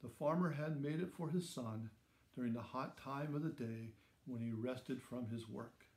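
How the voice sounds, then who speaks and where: A man in his sixties reading a children's story aloud in simple, measured phrases with dramatic emphasis. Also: mid-range voice, a little mellow. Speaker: storybook reader. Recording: roomy echo around the voice.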